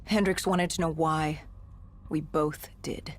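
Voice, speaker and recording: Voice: medium pitch, fairly even intonation, slow, a little hiss or hum, natural voice. An actor playing a war-weary soldier in a video game cutscene, recounting battle memories in a grim, clipped, dramatic way. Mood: shaken.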